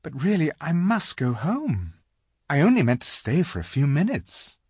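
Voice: medium pitch, wildly swooping pitch, moderate pace, darkish tone, slightly rough, no room sound, clean studio sound, voice pushed up. A storybook narrator voicing a kind-hearted young girl, polite and earnest, easily distressed. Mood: apologetic.

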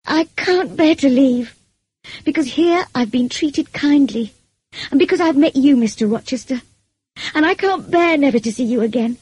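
A woman acting an impassioned young governess, pitched high. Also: sing-song, normal speed, mellow, breathy edge, no echo, exaggerated voice. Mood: anguished pleading. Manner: emotional, pleading. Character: earnest young governess, passionate, tearful, proudly defiant.

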